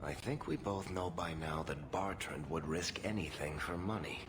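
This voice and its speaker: A man's voice: low-pitched, lively intonation, normal speed, music in the background. An actor playing a sardonic, roguish male dwarf in a video game, speaking in a cool, confiding way. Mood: sardonic, bitter.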